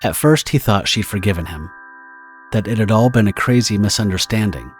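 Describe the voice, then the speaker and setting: A man's voice: on the low side, brisk, faint music behind. A man giving scripted, dramatic narration as the narrator of an audio drama.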